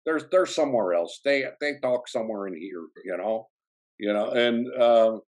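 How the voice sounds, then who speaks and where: A man's voice: mid-range voice, moderate pace, a little mellow. A man with a strong Chicago accent, an actor telling his story in an interview with many fillers and restarts. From south side of Chicago. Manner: rambling, full of "you know" fillers and restarts. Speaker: actor guest.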